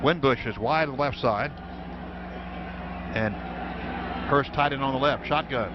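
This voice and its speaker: A man's voice: mid-range voice, slow pace. An American man calling live football play-by-play on the radio from a stadium booth. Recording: dull, noisy old radio broadcast.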